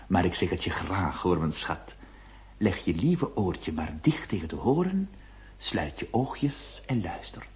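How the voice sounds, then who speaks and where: A man's voice: low voice, big pitch swings, unhurried, darkish tone. A male actor playing a flirtatious, easily flustered notary in a radio play, confiding and hesitant. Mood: tender, coaxing. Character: flirtatious, flustered ladies' man notary telling his story. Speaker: lead actor and narrator. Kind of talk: theatrical radio drama acting.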